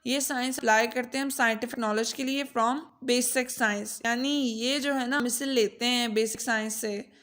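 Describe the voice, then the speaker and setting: A woman with a Pakistani accent and a fairly high voice, altered by a voice changer. She teaches informally, switching between languages. Her delivery is chatty and colloquial, with joking asides to her students. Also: fairly even intonation, measured pace, hardly any pauses, a bit bright. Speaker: tutor. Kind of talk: informal lesson mixing Urdu, Punjabi and English.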